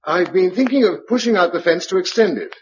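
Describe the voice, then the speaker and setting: A survivor and provider in a radio play, steady and wryly understated. His voice is a touch high and moves around a lot in pitch. The recording is dull, with a faint bed of noise. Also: brisk. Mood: calm, practical. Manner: dry, wry understatement. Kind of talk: British radio drama acting. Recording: muffled, noisy radio drama recording.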